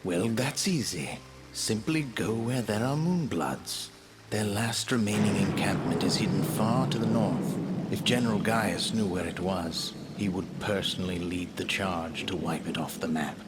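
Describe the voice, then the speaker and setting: A man voicing the sorrowful ghost of a baron in a video game, speaking slowly and formally. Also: deep voice. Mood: grave, weary. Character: remorseful ghost of a male baron. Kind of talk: dramatic video game voice acting. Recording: loud music and rain behind. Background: game music and rain.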